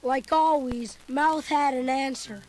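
A woman's voice: sits high, fairly even intonation, slow, noisy background. A woman voicing a chubby, food-loving boy who narrates a storybook record with chatty, comic asides. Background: music.

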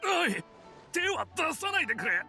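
An actor playing a blustering, threatening elderly swindler in a Japanese video game, rough-spoken and menacing. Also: male voice, very high voice, very animated, moderate pace, sharp tone, faint music behind, full character voice. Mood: panicked.

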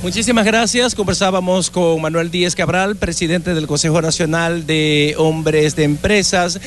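A man reporting live from an outdoor event, holding a handheld microphone, formal and steady in his delivery. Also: fairly high pitch, moderate pace, background noise. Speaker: field reporter. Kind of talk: live news interview. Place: outdoors at a crowded official event.

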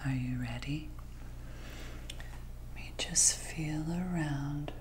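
A woman's voice: low voice, noisy background. A woman in her thirties playing a sinister, teasing doctor in a whispered, coaxing ASMR roleplay, close to the mic. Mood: teasing, sly. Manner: slow, hushed, coaxing. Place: dim living room at night.

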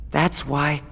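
An actor playing an earnest, determined teenage boy hero in a video game, halting and sincere. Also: on the high side, creaky, pushed and bright, slight background noise, exaggerated voice. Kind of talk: video game cutscene acting. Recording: long hall reverb.

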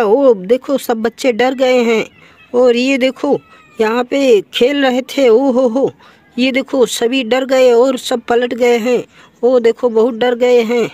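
A man improvising children's toy-play narration in an exclamatory, sing-song way full of repeated interjections. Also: high-pitched. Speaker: toy channel presenter.

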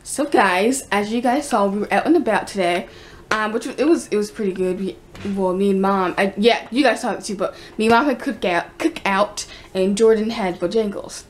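Young American woman vlogging, noisy camera audio. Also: mid-range voice. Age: teens to young adult. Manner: informal, halting, rambling. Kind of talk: casual chat to camera. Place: indoors, home room. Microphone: camera at arm's length. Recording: noisy handheld camera recording with room ambience.

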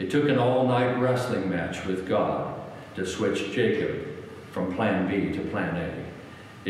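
An older American man preaching a sermon as a pastor, repeating phrases for emphasis with deliberate pauses. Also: lowish voice, a little hiss or hum. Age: 60s or older. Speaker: guest preacher, pastor and former military chaplain. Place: church sanctuary, at a wooden lectern. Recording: very echoey church hall, long reverb.

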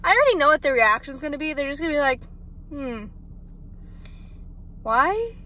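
High-voiced chatty young woman in a car. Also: big pitch swings, moderate pace, sharp tone. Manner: chatty, swears freely, self-mocking asides. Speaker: vlogger. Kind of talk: casual vlog chat. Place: parked car at night, indoors. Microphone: phone camera held or propped close.

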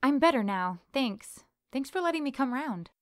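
A woman's voice: high-pitched, lively intonation, normal speed, dry recording, clean studio sound. A young woman playing a polite, cautious guest in an amateur audio drama.

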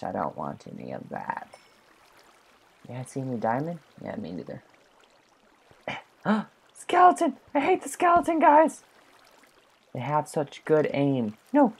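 A voice doing casual commentary while playing a video game, chatty and excitable, talking both to itself and to the viewers. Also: medium pitch, vocal fry, slightly rough. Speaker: gameplay commentator. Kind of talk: casual let's play commentary.

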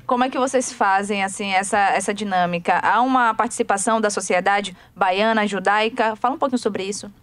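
A woman's voice: on the high side, no echo. A woman radio host asking guests questions in a friendly, conversational way.

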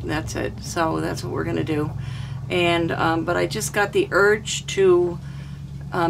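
An older woman with a hoarse throat, chatting casually through a cooking vlog in a small camper kitchen. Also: on the low side, noisy background. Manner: chatty, homey, rambling. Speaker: cooking vlogger.

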